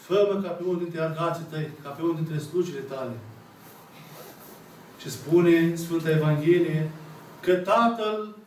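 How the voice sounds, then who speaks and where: A Romanian-accented priest in his thirties delivers a slow, emphatic sermon with long pauses. His voice sits high for a man. It reaches the microphone from across a small, echoey church, with faint room noise underneath. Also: recorded from a distance. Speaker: Orthodox priest. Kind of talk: sermon retelling a parable. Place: small church full of icons, congregation seated. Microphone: camera at the back of the room.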